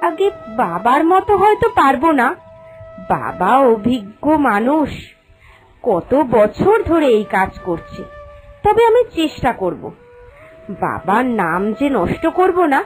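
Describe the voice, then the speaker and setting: A woman's voice: on the high side, sing-song, slow, soft background music, pitched below the performer's own voice, rough recording. A woman voicing a meek young village washerwoman in a cartoon, speaking respectfully and earnestly. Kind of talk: Bengali cartoon voice acting.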